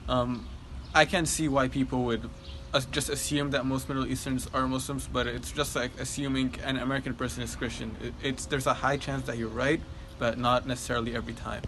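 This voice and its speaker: A teenage boy from Egypt, a high school student answering interview questions thoughtfully, with frequent ums and likes. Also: little pitch movement, brisk, noisy background. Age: teens.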